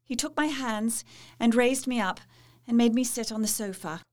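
A woman's voice: a little high, moderate pace, darkish tone, lightly acted. An actress playing a polite, devoted young Victorian wife in a gothic audio drama, formal and heartfelt, as if telling her diary. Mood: troubled. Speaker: actress narrating and playing dialogue.

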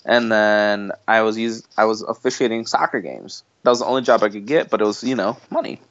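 Podcast guest: man, lowish voice, informal, repeats himself for emphasis, slightly muffled recording. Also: brisk, fairly bright tone, no room sound. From Bosnia, later the United States. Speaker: podcast guest, marketing entrepreneur. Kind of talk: casual conversational interview.

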